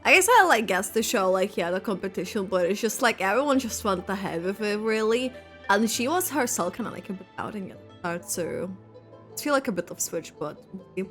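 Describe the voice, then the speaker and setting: Bright, animated young woman reacting to a game. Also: medium pitch, brisk, soft background music. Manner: rambling, thinking aloud, frequent "like". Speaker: gaming streamer. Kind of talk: casual reaction commentary over a game.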